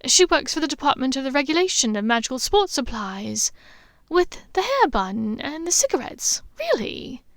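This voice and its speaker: A woman voicing a quick-tempered young woman who protests in a raised, lively voice. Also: dry recording, clean studio sound, exaggerated voice. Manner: high, lively, protesting with a laugh.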